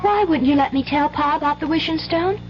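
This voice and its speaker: A muffled radio drama with faint hum features a high-pitched, earnest teenage girl from the country, voiced by an actress. She sounds naive and hesitant. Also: a little fast, loud music behind the voice, natural voice. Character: dreamy, earnest sixteen-year-old country girl, childlike. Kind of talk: 1970s radio drama acting. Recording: dull 1970s radio broadcast with hum.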